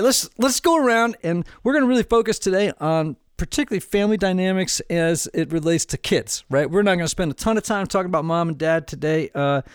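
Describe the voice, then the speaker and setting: A man's voice: fairly high pitch, clear, resonant tone, no room sound, clean studio sound. A middle-aged man hosting a casual family podcast, upbeat and joking, rambling a little with fillers. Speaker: podcast host.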